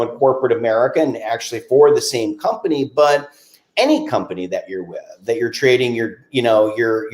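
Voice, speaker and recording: A man in his forties hosts a podcast interview, talking loosely and leaning on "you know" between thoughts. His voice rings in an echoey room. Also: medium pitch. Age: 40s. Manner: talkative, many "you know" fillers. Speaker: podcast host. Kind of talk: casual interview chat.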